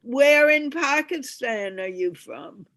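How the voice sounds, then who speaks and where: A woman with a high voice hosts an online meetup over a video call. She speaks at an unhurried pace, putting questions to guests in a conversational way. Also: expressive, fairly bright tone. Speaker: host.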